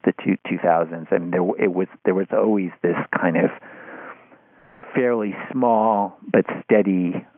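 Male interview guest, deep voice, halting and thoughtful delivery with repeated words, dry phone-quality audio. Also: fairly even intonation, no echo. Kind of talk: conversational media commentary. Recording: tinny phone-like line.

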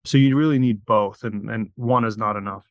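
A middle-aged man, born in Crimea and raised in Virginia, giving measured, explanatory interview answers with frequent restarts. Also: fairly low pitch, no room sound, studio quality. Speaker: company founder.

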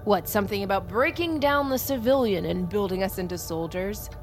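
A woman's voice: medium pitch, a little fast, faint music behind, close to an everyday voice. An actress playing a young female Army recruit, defiant, sardonic and haunted, in a naturalistic audio drama. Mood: earnest, jaded. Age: young adult.

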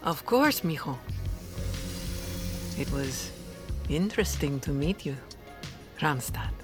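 An actress playing a mystical, doting older aunt who reads crystals, portentous and affectionate. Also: female voice, low voice, lively intonation, takes it slowly, music in the background.

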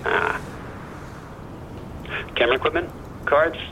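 An actor playing a cautious, dry-humored friend who worries, speaking hesitantly in a naturalistic audio drama over a radio call. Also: male voice, fairly high pitch, slow, creaky, pushed and bright, slight background noise, exaggerated voice, rough recording. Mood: wry concern. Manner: hesitant, fretful, wry.

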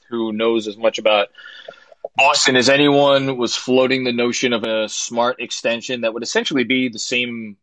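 A middle-aged man hosting a live call-in sports talk show. He speaks quickly and rattles off statistics while staying friendly with callers. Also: mid-range voice, a bit bright, dry recording, low-quality recording. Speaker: host.

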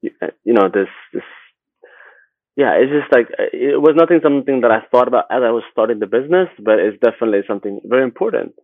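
A man from Sweden talking casually as an interview guest, haltingly, often repeating words and rephrasing as he goes. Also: mid-range voice, expressive, no room sound, phone-quality sound. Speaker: interview guest, agency founder.

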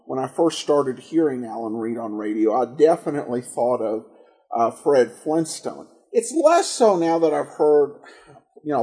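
A man hosting a podcast, giving casual commentary in a halting way, often searching for words and correcting himself. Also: medium pitch, mellow, rough recording. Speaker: podcast host. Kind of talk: casual commentary on old radio detective shows.

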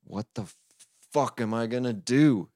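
Man acting emotional cartoon toad, high-pitched, melodramatic, foul-mouthed, silly sing-song, comic storybook. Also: animated, a little slow, dry recording, studio quality. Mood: despairing. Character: hysterical, dim, emotional male cartoon toad.

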